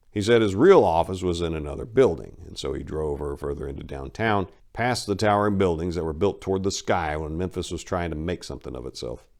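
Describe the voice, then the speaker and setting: A man's voice: low-pitched, a little mellow. A man narrating a crime story as a dramatic reading.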